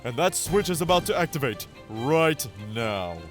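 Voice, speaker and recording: A man's voice: on the high side, animated, moderate pace, natural voice. A man playing a menacing, vengeful game master boy in a taunting, theatrical audio manga style, with music behind. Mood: sinister taunting. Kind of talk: audio manga dramatic acting.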